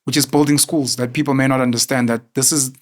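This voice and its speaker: A man's voice: mid-range voice, fairly even intonation, quick pace. A man hosting an interview, talking in an effusive, chatty and admiring way. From South Africa. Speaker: host. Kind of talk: interview conversation.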